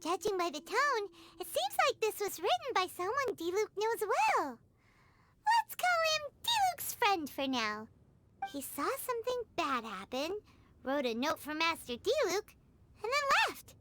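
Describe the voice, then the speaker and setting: A woman voicing a tiny, cheerful, childlike fairy companion in a video game, squeaky, excitable and curious. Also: unhurried, heavy background noise, full character voice. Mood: curious, musing. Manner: exclamatory, refers to self in third person. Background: music.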